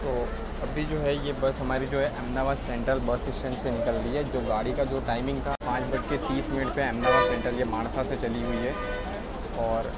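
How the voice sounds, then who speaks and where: A man's voice: mid-range voice, little pitch movement, fairly quick. A man narrating a travel vlog from a bus and bus stops, chatty and full of fillers as he points things out to viewers. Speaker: travel vlogger. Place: on and around a long-distance bus in city streets.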